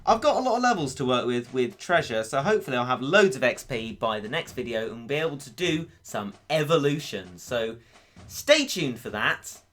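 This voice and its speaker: A man giving upbeat, joking commentary over a mobile game, his voice going a little hoarse. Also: medium pitch, animated, moderate pace, fairly bright tone. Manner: chatty, upbeat, self-deprecating jokes. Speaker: gaming YouTuber. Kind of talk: let's play commentary.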